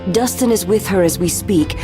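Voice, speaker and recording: An actress playing a loyal, formal royal guard with grave composure in a video game cutscene. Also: female voice, medium pitch, normal speed, natural voice. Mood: grave, relieved. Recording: music behind the voice.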